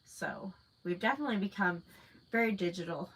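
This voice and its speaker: A woman's voice: fairly low pitch, lively intonation, fairly quick, a bit bright, a little hiss or hum, low-quality recording. A woman in her thirties with a stuffy nose from a cold, chatting casually and rambling on a livestream as she answers viewers. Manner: chatty and rambling, many fillers. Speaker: livestream host. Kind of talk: casual live chat answering viewer comments.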